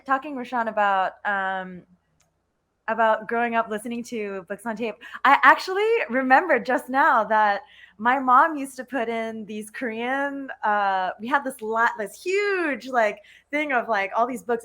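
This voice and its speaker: A woman talking casually as a panelist on a video call, chatty and halting, with giggly asides. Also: a little high, sharp tone. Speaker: playwright panelist.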